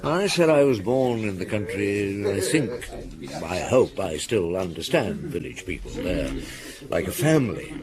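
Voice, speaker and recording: An actor playing a shrewd police chief inspector in a radio drama, questioning in a measured, drily amused way. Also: male voice, on the low side, sing-song, a crowd in the background. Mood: probing, wry.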